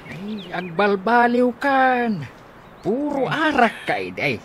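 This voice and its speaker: A man's voice: high voice, big pitch swings, takes it slowly, a bit bright, slight background noise, heavily acted. A man with an Ilocano accent playing a boastful, scolding old father in a radio comedy drama.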